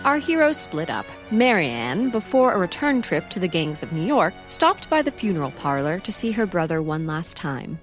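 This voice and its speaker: A woman's voice: fairly low pitch, sing-song, moderate pace, few pauses. A woman narrating a comic audio drama from a script in a dramatic storytelling style. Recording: music under the narration. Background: music.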